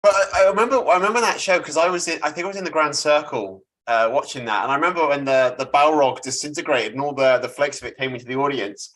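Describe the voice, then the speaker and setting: A man chatting on a panel, laughing his way through stories. His voice sits a touch high and stays relaxed. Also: quick pace, hardly any pauses. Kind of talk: casual chat.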